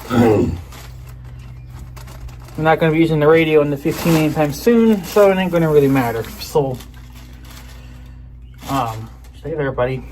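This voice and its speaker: A man's voice: a little high, darkish tone, low-quality recording. A man chatting casually to viewers as he shows off an item, rambling and often trailing off mid-sentence. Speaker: video creator. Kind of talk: casual unboxing chat to viewers. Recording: clear background noise.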